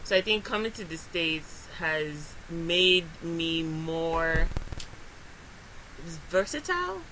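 A woman's voice: fairly low pitch, lively intonation, slow, noisy background. A woman from Nigeria on a podcast, reflecting and thinking aloud in casual chat. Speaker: podcast co-host.

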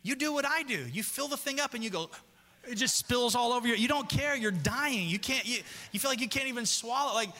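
A male pastor preaching a sermon in a church, chatting casually with the congregation, reading scripture slowly, and climbing higher and louder as he gets worked up. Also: animated. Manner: reads scripture slowly and deliberately. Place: church sanctuary. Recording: long hall reverb.

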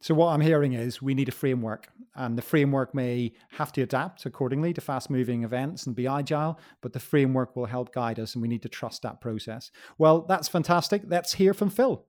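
A man's voice: medium pitch, fairly quick, few pauses, a little mellow. A man hosting a podcast, summing up points and welcoming his guest. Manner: summarizing, welcoming. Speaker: podcast host. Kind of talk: hosting interview.

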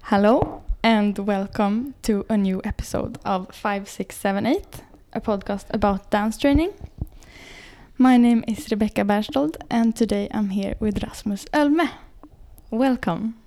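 A woman hosting a podcast interview, asking short, soft-spoken questions in an apartment. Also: medium pitch, a little slow. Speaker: podcast host.

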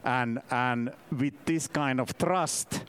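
A man from Finland in his fifties with a Finnish accent, giving a halting, self-deprecating conference talk in a second language. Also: medium pitch, a bit bright, clean tone. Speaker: conference speaker. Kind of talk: conference presentation. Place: conference session room.

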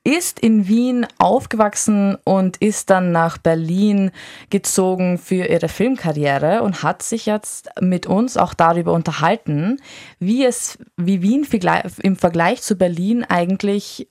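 A woman's voice: fairly low pitch, unhurried, darkish tone. A woman giving a conversational report as a reporter in a radio studio.

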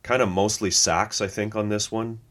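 A man's voice: on the low side, steady tone, normal speed, a bit bright. A man co-hosting a podcast, chatting casually, reading notes aloud and laughing easily. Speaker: podcast co-host.

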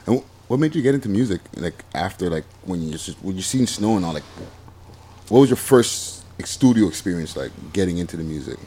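Low-voiced man asking halting questions. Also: animated, dark tone, husky. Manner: casual, slangy, halting questions full of "like". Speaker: interviewer. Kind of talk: casual interview.

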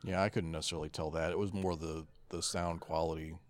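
A man's voice: sits low, little pitch movement, fast, no room sound. A man co-hosting a podcast, chatting casually in a laid-back manner. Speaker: podcast co-host.

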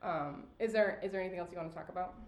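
A woman hosting a podcast in casual chat, blunt and profane and quick to laugh. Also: lowish voice. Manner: laughs often, blunt and swearing. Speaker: podcast host. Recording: roomy echo.

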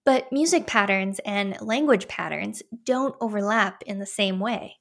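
Woman interviewer, medium pitch, chatting conversationally for an educational talk. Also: unhurried, creaky.

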